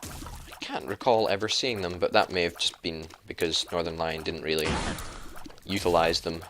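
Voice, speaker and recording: A man's voice: low voice, fairly even intonation, moderate pace, background noise. A young Scottish man playing along in a gaming video, keen and self-deprecating in casual chat. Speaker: co-op gaming partner.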